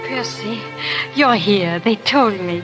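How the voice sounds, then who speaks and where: A woman's voice: medium pitch, slow, long reverb tail, music in the background, close to an everyday voice. A woman acting a devoted, anguished young wife in a 1930s radio melodrama. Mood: relieved. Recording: old 1930s radio broadcast.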